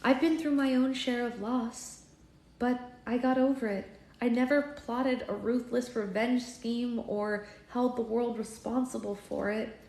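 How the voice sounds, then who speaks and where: A woman's voice: on the high side, steady tone, a little slow, creaky, a little mellow, pitched above the performer's own voice. A woman voicing a bold, proud storybook heroine, echoey room. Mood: defiant. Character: bold, proud young heroine standing up to villain. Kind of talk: expressive storybook reading. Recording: roomy echo, faint background noise.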